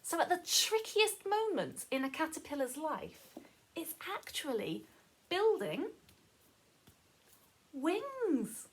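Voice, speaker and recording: A woman reading a story aloud to children as a teacher, explaining as she goes in an expressive, sing-song manner with questions to the listeners. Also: high-pitched, slow, pauses often.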